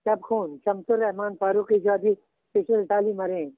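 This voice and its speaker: An older man teaching Urdu literature, slowly and patiently dictating references to a student. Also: high voice, steady tone, no room sound. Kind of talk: guiding a student over the phone. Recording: tinny phone line.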